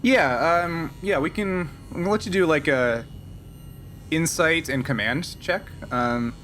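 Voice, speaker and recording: A man's voice: on the high side, slow, fairly bright tone, a little hiss or hum. A man running a tabletop role-playing game as game master, improvising and thinking aloud with some hesitation.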